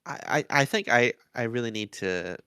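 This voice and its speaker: A man's voice: mid-range voice, animated, normal speed, bright, forceful tone, clear, resonant tone, no room sound, studio quality. A man co-hosting a podcast, talking casually as he reviews and rates stories. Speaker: podcast co-host.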